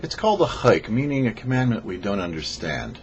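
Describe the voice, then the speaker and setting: A man reading a scripted religious teaching as a rabbi and show host, phrasing deliberately and emphatically with pauses. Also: fairly low pitch, sing-song. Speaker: rabbi, host of a weekly Torah portion show. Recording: dull lo-fi audio with faint noise.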